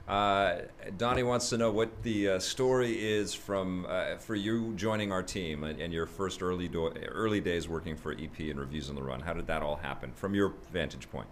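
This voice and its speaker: Man, podcast host, very low voice, easygoing, agreeable, faint background hiss. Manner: easygoing, agreeable, quick to laugh along. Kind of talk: casual podcast chat.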